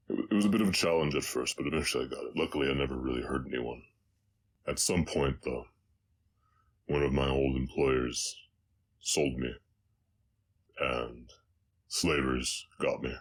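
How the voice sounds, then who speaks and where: A man playing a gentle, haunted orc cowboy in an intimate audio roleplay, speaking hesitantly with a slight Southern American accent and a very deep voice. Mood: reflective, hesitant. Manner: halting, hesitant, reflective.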